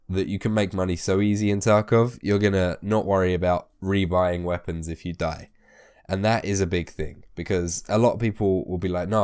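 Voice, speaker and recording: A man hosting a gaming video, a little hoarse as his voice comes back, talking tips in a chatty way and swearing casually. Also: low voice, a little mellow. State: hoarse, recovering from losing his voice. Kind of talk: casual spoken game tips.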